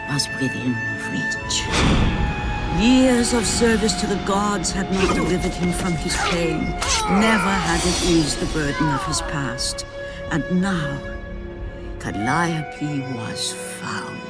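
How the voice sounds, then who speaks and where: An actor narrating a mythological game story in a solemn, theatrical, scripted manner as a grave storyteller. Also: male voice, on the high side, lively intonation, takes it slowly, loud music behind the voice. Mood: solemn, ominous. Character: grave storyteller of ancient myth, male.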